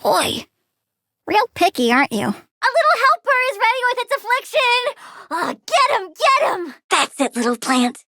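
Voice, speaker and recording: A woman's voice: very high voice, sing-song, moderate pace, bright, forceful tone, dry recording, clean studio sound, full character voice. A woman voicing a childlike young plant-controlling villain girl in a video game, perky, precocious and sassy, eager and cheerfully menacing. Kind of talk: video game character voice lines.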